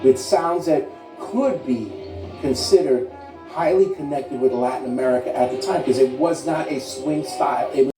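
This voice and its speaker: A man's voice: mid-range voice, moderate pace. A man giving an informal music history talk, explaining and rephrasing as he goes. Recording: echoey room, distant mic, music underneath.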